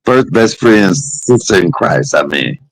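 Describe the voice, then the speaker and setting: Male host, low and husky, animated delivery, playful teasing. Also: unhurried, poor audio. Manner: joking, teasing, bursts of laughter. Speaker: podcast host. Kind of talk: casual chat. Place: hospital room.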